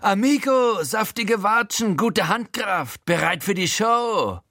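High-pitched, cocky crook. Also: male voice, expressive, slow pace, bright, forceful tone, no echo, studio quality, exaggerated voice. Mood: gleeful. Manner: cocky, slangy, mock-polite threats. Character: brash, cheeky male crook giving gleeful orders.

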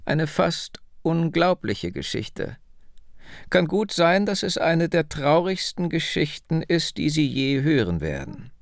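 A male narrator voicing an elderly woman from Ohio who tells her family's story slowly and insistently. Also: a little high, very animated, gravelly, no room sound, studio quality, pitched above the performer's own voice, heavily acted. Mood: solemn. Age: older.

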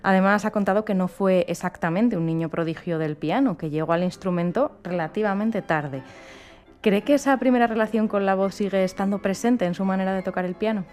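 A woman's voice: mid-range voice, a little fast, a little mellow. A woman from co-hosting a classical music radio program, delivering polished, prepared introductions and questions. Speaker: radio co-host. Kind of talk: radio interview.

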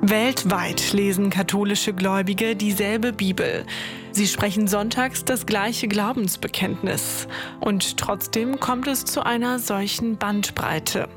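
A woman's voice: mid-range voice, steady tone, slow. Woman narrating a documentary over music. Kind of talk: scripted narration.

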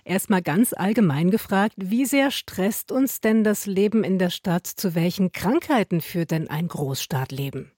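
A woman's voice: medium pitch, expressive, unhurried, hardly any pauses, a little mellow, dry recording, clean studio sound. Berlin woman hosting a radio interview. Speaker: radio host.

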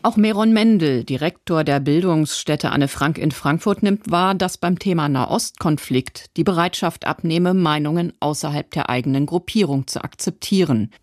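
A woman reads a scripted news report in a measured, even tone. The voice-over is recorded close and dry, with no room sound around it. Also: low-pitched, dry recording. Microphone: studio voice-over mic.

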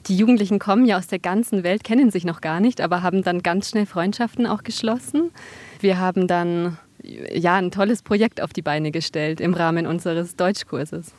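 A woman's voice: fairly low pitch, normal speed, a little mellow. A woman teacher speaking casually and upbeat in an interview. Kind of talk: interview answer.

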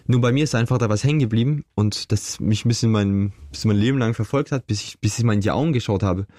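Fast-talking reflective man, low voice. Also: a little mellow, no room sound, studio quality. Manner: earnest, reflective, many fillers and restarts. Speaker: interview guest. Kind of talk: casual conversational storytelling.

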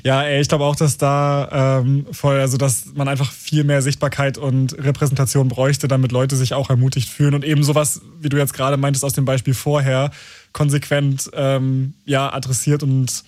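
A man hosting a late-night radio talk show, chatting relaxed and thinking aloud with fillers. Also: medium pitch, moderate pace, dry recording. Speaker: radio host.

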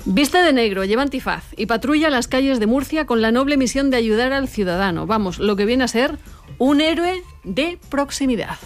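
A woman's voice: a little high, animated, normal speed, soft background music. A woman co-hosting a show, presenting a story with a light, wry delivery. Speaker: radio show co-host.

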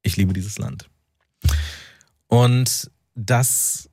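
A man's voice: mid-range voice, steady tone, drawn-out words, darkish tone, dry recording, studio quality. A man from North Rhine-Westphalia, an author speaking reflectively as a radio interview guest, often searching for words. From North Rhine-Westphalia, Germany. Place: radio studio.

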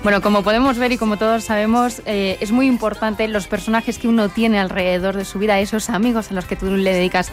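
A woman's voice: medium pitch, steady tone, faint music behind. A woman hosting a radio interview, enthusiastic, asking questions about a book. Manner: enthusiastic, reads aloud slowly. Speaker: radio host.

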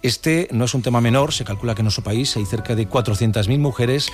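A man hosting a live radio show, brisk and presenter-like. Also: mid-range voice, soft background music. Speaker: radio host.

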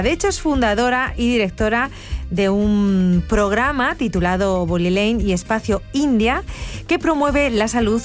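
A woman with an accent from Spain hosting a radio interview in an effusive, encouraging, conversational way. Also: mid-range voice.

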